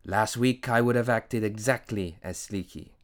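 A man's voice: on the low side, normal speed, lightly acted. A man voicing an earnest, self-doubting male eagle in a storybook, speaking in a hesitant, confiding way. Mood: confessing, ashamed.

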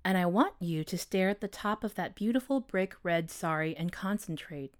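A man voicing a gentle, wise female owl hypnotist in a storybook, with a soft, smooth, calm and soothing delivery. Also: high voice, moderate pace, pitched above the performer's own voice. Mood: soothing, hypnotic. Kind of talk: storybook character voice.

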